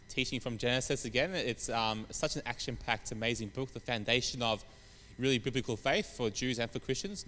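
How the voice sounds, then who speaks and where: A man with a croaky voice from hay fever, giving a relaxed sermon with many pauses and fillers. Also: medium pitch, long reverb tail, slight background noise. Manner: rambling, many fillers and restarts. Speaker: preacher. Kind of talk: informal sermon on scripture.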